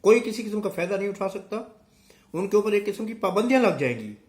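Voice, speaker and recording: A man's voice: high-pitched, big pitch swings, fast, darkish tone. A middle-aged Pakistani man with a sore throat, giving emphatic, unscripted political commentary straight to his viewers with repetition for effect. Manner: emphatic, rhetorical questions and repetition.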